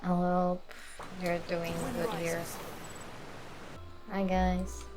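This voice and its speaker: Low, even-voiced woman game streamer. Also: very slow. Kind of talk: casual live reaction commentary over gameplay.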